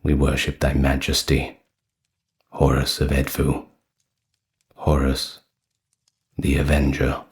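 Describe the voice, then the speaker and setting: A man hosting and narrating in an ASMR storytelling style, with a measured delivery. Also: very deep voice, completely flat delivery. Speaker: host, narrator of a history and mythology channel.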